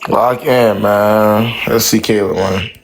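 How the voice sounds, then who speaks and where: A man's voice: lowish voice, fairly even intonation, slow pace. Male live stream host, casual slangy talker, repeats his questions, faint hiss behind. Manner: slangy, repeats himself, stammering restarts.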